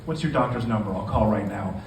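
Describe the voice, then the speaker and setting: A man's voice: fairly low pitch, a little fast, close to an everyday voice. A man reading aloud the part of a worried, defensive boyfriend in literary fiction, speaking earnestly. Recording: echoey live room, distant mic, noisy.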